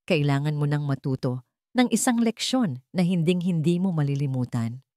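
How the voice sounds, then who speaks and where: A woman's voice: sits low, lively intonation, moderate pace, mellow, no room sound, studio quality. A woman voicing a cruel, scheming stepmother in an audio story, cold, accusing and commanding. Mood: cold threat.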